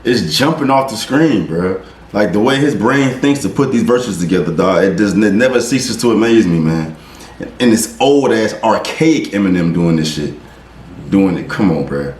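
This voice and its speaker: A man hosting a reaction video, talking casually and excitedly, swearing often and restarting his sentences. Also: lowish voice, normal speed, reverberant.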